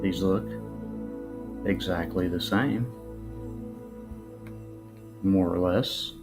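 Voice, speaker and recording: A man giving casual, unscripted commentary in a musing, halting way, often posing rhetorical questions. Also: low voice. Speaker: video narrator. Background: new-age music.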